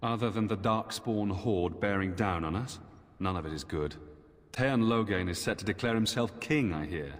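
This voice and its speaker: A man voicing a weary, dour Templar knight commander with formal, measured speech in a fantasy video game. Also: lowish voice, close to an everyday voice. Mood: grim, bitter. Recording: long echoing hall reverb.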